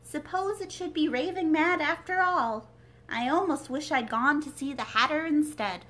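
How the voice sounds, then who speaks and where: A woman's voice: sits high, moderate pace, fairly bright tone, pitched above the performer's own voice. A woman voicing a curious, polite little girl in a storybook, with an earnest, emphatic way of questioning. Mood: wondering.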